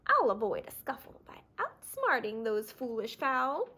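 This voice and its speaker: A woman's voice: fairly high pitch, very animated, a little slow, bright, forceful tone, husky, heavily acted. A woman voicing a sly, greedy male fox villain who gloats and sneers in a children's storybook. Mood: calculating. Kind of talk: children's storybook read-aloud.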